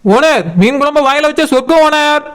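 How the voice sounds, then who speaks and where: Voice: sits high, a little fast, lightly acted. A performer voicing an eager, pushy young food-stall seller in a cartoon comedy, coaxing and nagging in Kanyakumari Tamil. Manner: coaxing, insistent.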